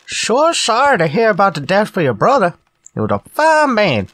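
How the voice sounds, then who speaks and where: A man's voice: sits high, huge pitch swings, moderate pace, a bit bright, exaggerated voice. A man playing a folksy old prospector and former ranger in a western radio drama, with an exclamatory frontier way of talking. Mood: curious, sympathetic.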